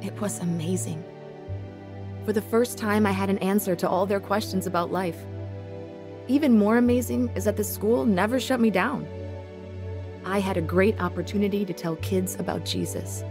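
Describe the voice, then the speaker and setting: A woman's voice: mid-range voice, normal speed, lightly acted. An actress playing an earnest young woman teacher who narrates her own faith story in a radio drama. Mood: heartfelt. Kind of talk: dramatized first-person testimony narration. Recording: new-age music behind the voice.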